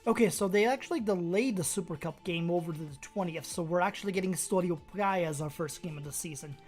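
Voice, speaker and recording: A woman from Brazil with a fairly low voice, speaking English with a mostly American accent and a faint Brazilian edge. Her first language is Portuguese. She chats casually and rambles as she thinks aloud, over a faint bed of music. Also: quick pace, darkish tone. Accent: mostly American with a slight Brazilian touch. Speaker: streamer. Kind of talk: casual chat with viewers while playing a game.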